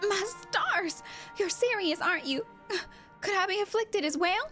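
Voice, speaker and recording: A woman's voice: very high voice, expressive, unhurried, a bit bright, soft background music, pitched above the performer's own voice, heavily acted. A woman voicing a glamorous, vain female celebrity fighter from a video game in a theatrical, drawling, genteel way. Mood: shocked.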